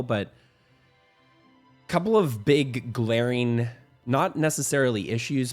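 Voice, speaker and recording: A young man hosting a weekly game news show, a little hoarse from a cold, talking in an upbeat, rambling way. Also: on the low side. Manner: upbeat, rambling, with frequent restarts and fillers. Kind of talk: casual game news commentary.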